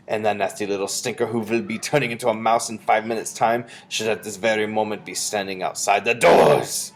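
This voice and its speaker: A performer voicing an imperious female witch leader in a storybook, commanding and theatrical with drawn-out emphasis. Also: mid-range voice, steady tone, noisy background, close to an everyday voice. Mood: gloating, commanding. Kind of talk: storybook character voice.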